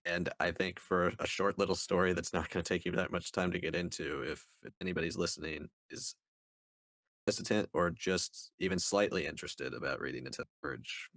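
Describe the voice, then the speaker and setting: A man's voice: low voice, a little fast, fairly bright tone, no echo, clean studio sound. A man co-hosting a review podcast, speaking in a casual conversational style. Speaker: podcast co-host reviewing books.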